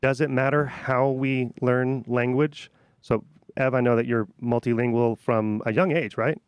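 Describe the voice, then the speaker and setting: A man's voice: medium pitch, fairly even intonation, a little slow, smooth, no room sound. A man hosting a podcast, asking casual, probing questions to his guests with some restarts. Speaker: podcast host. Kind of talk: conversational podcast interview.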